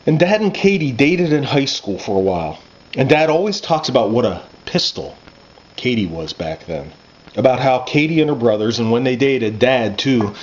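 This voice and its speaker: Man, conversational story reading, first-person fiction, mid-pitched voice, slightly dull lo-fi sound, faint noise. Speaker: author reading own novel. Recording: muffled lo-fi with background noise.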